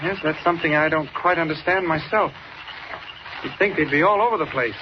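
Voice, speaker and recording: Male actor mild young reporter, highish voice, polite, reasonable, vintage radio serial, muffled noisy sound. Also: brisk, natural voice. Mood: calm. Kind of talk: 1940s radio acting.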